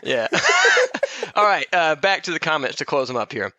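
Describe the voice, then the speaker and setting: A man co-hosts a casual comedy podcast. His voice is bright and forceful, with animated swings in pitch. He jokes and swears easily, and the sound is dry and close. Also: moderate pace, no room sound. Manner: profane, joking, animated. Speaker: podcast host. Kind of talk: casual comedic podcast chat, reading out comments.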